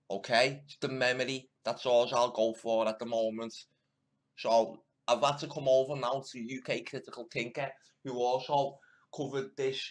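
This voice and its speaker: A man hosting an online channel, giving accusatory, combative commentary. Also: medium pitch. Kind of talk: opinionated spoken commentary.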